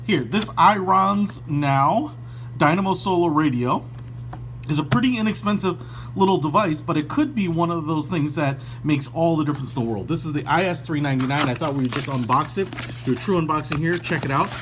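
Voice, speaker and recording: A man's voice: on the high side, brisk, a bit bright. Casual male product reviewer, noisy humming recording. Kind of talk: casual unboxing review, ad-libbed. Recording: clearly noisy recording with hum.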